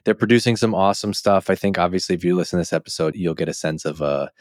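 An American man with a fairly low voice hosts a casual interview podcast. He is chummy and informal, phrasing things loosely. The recording is dry, without room sound. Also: fast talker, a little mellow, dry recording. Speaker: podcast host.

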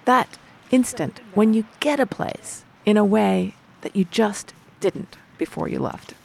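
A woman's voice: mid-range voice, expressive, unhurried, gravelly, darkish tone, slight background noise, low-quality recording. A woman hosting a travel podcast, narrating a scripted story in a reflective, wry manner. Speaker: podcast host.

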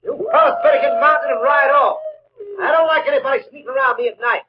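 Menacing high-pitched western outlaw. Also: male voice, fast. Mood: suspicious, hostile. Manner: gruff, threatening. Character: menacing, suspicious male outlaw at a campfire. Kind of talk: old-time radio western acting. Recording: muffled, faintly noisy old radio recording.

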